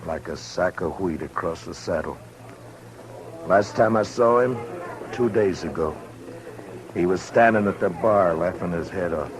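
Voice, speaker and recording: An actor playing a stern, hard-bitten Old West marshal, commanding and blunt, in a 1950s radio drama. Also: male voice, low-pitched, a little slow, pauses often, over music. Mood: grim. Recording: noisy old radio broadcast recording.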